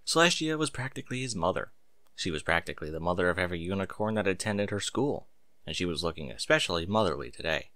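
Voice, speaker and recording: A man narrating a story aloud in an expressive, dramatic and emphatic way. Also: low-pitched, no room sound. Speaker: narrator reading fan fiction aloud.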